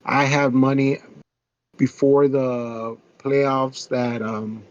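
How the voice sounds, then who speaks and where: A man's voice: medium pitch, fairly even intonation, drawn-out words, smooth, highs cut off. A man co-hosting a podcast, talking casually and hesitantly about sports picks with many fillers. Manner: hesitant, many ums and uhs. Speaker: podcast co-host.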